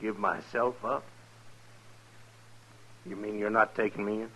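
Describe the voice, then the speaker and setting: A tense, bitter deserter soldier. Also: male voice, fairly low pitch, fairly even intonation, unhurried, sharp tone, full character voice. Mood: disbelieving. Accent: American. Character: bitter, distrustful young army deserter on the run. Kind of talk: 1950s radio western acting. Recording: noisy vintage radio recording.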